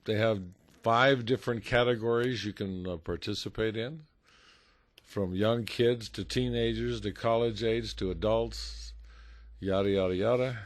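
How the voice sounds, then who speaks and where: A man's voice: fairly low pitch, fairly even intonation, a little slow, dry recording. A man hosting a casual radio interview, joking and chatty with frequent fillers. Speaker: radio host.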